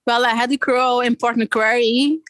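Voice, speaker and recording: A woman's voice: a little high, steady tone, normal speed, a bit bright, no echo. A woman from Belgium with a Belgian accent, telling personal stories in a casual interview, using informal surf slang. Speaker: podcast guest, amateur big wave surfer.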